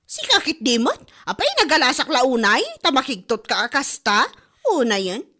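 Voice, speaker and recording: A woman acting a high-voiced, quarrelsome Ilocano wife. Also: big pitch swings, moderate pace, pushed and bright, exaggerated voice. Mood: indignant. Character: gossipy, ailing, quarrelsome village wife. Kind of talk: comedy drama podcast acting.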